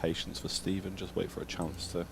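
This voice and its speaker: English guy commentating snooker, deep gravelly voice, calm and measured, some hiss behind. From England.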